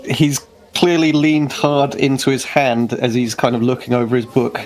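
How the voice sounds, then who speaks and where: A man's voice: medium pitch, level tone, normal speed. A man talking casually as a player in a tabletop game, describing his character's actions. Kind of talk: casual chat and character narration.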